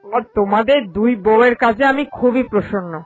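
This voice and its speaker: A woman's voice: fairly high pitch, moderate pace, lightly acted. A woman voicing a stern, cunning elderly mother-in-law in a cartoon story, with an authoritative, accusing manner. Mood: stern displeasure. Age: older. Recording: voice over background music.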